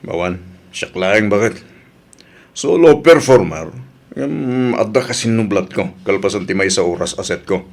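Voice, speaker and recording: Radio-drama husband, played by a man: Ilocano accent, smooth-talking flirt who dodges questions, deep voice, lively melody. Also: unhurried, exaggerated voice. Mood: defensive. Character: Efren, flirtatious evasive married man who sings at a bar. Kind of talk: Ilocano audio drama acting.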